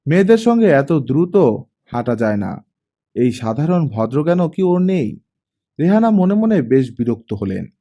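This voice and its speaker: A man reading a story aloud as an expressive audiobook narrator. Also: medium pitch. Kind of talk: expressive scripted story narration, voicing the dialogue.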